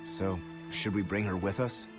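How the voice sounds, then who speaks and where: A man's voice: sits low, steady tone, moderate pace, close to an everyday voice. An actor playing a pragmatic, businesslike male fighter in a video game, talking matter-of-factly. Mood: brisk, practical. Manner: matter-of-fact. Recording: music behind the voice.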